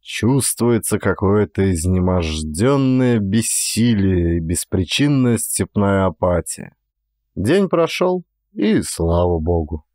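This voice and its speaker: A man reading a literary story as an audiobook, with an actor's expressive, measured storytelling delivery. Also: low-pitched, no echo, studio quality. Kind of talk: dramatic literary audiobook narration.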